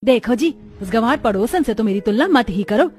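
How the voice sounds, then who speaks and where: A woman's voice: a little high, lively intonation, brisk, a little mellow, close to an everyday voice. A woman voicing an irritable, lazy and haughty housewife in a cartoon story, grumbling and scornful. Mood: angry, scornful. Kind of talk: Hindi cartoon story acting.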